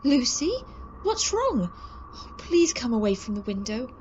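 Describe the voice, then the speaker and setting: An anxious, pleading female friend in a radio drama. Her voice is high and very animated. The sound is dull, with clear background noise. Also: a little slow. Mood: worried. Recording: dull, clearly noisy recording.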